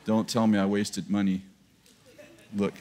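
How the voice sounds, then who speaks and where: A man's voice: lowish voice, flat delivery, a little slow, a little mellow. A man in his fifties preaching a sermon in a large church auditorium to a live congregation, talking in a casual joking way and laughing at his own lines. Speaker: pastor. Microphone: headset mic.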